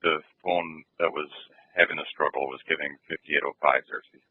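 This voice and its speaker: A man dispatching police calls over scanner radio in a flat, procedural way. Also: very deep voice, fairly quick, husky, no echo. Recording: tinny phone-like scanner radio audio.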